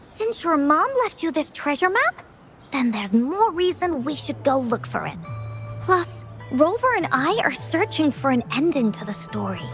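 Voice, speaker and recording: A woman's voice: sits high, big pitch swings, moderate pace, fairly bright tone, faint music behind, full character voice. A woman voicing a cheerful, confident little girl adventurer in an anime-style video game, with a bubbly, childlike way of talking. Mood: upbeat, confident. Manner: bubbly, childlike, speaks of self in third person.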